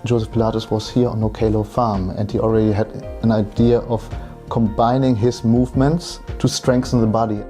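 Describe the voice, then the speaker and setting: A man's voice: lowish voice, level tone, normal speed, mellow, soft background music. A man in his thirties with a German accent, telling his story casually as an interview guest. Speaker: podcast guest, entrepreneur.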